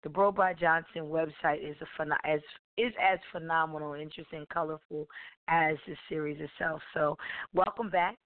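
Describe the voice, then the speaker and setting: A female radio host speaks in a rambling, halting way, stopping to restart her sentences as she loses her voice. Her tone stays smooth and clear at a middling pitch. The audio is dry and close but squeezed into a tinny, phone-like band. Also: steady tone, dry recording. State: losing her voice. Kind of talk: live radio interview.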